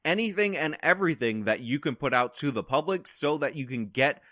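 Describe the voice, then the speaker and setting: A man's voice: a little high, animated, brisk, sharp tone, no echo, studio quality. A man delivering opinionated news commentary in long, wordy, emphatic sentences. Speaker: political commentary YouTuber.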